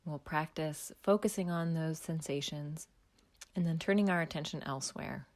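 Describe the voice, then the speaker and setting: A woman calmly guiding a body scan meditation, speaking slowly and softly with long pauses and a half-whispered delivery. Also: deep voice. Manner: slow, soft, calm instructions with long pauses, often half-whispered. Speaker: mindfulness guide.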